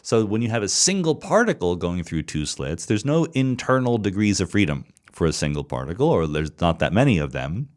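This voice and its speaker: A man's voice: low-pitched, big pitch swings, brisk, hardly any pauses. A middle-aged man hosting a podcast, answering listener questions in a thoughtful solo monologue, explaining step by step with frequent restarts and repeated words.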